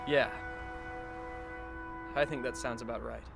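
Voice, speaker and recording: A mid-pitched male voice, an actor's as a wry high school anime boy. He sounds dry, understated and resigned, musing as much as talking, over background music. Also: steady tone, measured pace, creaky. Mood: reflective. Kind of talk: anime fan dub dialogue and inner monologue.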